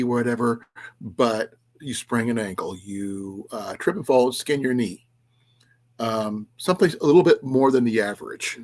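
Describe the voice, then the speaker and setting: The guy who runs a small outdoors livestream on a weeknight, a little raspy, wandering from point to point and stopping to answer whoever is in the chat. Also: mid-range voice. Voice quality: slightly raspy voice. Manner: rambling, halting delivery with restarts and trailing asides. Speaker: livestream host of an outdoors and preparedness channel. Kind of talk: casual livestream chat, reading and answering viewers' comments.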